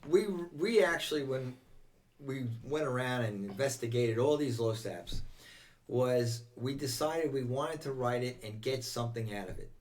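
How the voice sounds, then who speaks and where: Older man, American accent, interview guest, casual reminiscing, repeats phrases, medium pitch, slightly distant mic. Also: expressive, moderate pace. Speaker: interview guest, veteran volunteer firefighter.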